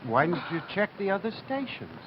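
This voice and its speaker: A skeptical man of authority, incredulous and scolding, with lively intonation in a TV drama. The sound is dull and noisy. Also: high voice, a little fast. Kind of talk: TV period drama acting.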